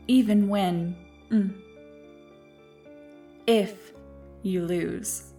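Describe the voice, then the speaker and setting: Woman doing an ancient evil being, playful n manipulative, talks real slow, asmr roleplay, bit off the mic w music under it. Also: mid-range voice, darkish tone, far from the mic, natural voice. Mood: pleased, condescending. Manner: slow, teasing, condescending, savoring words. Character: ancient nefarious evil creature, female, playful, condescending, manipulative. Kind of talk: ASMR roleplay, scripted monologue to the listener.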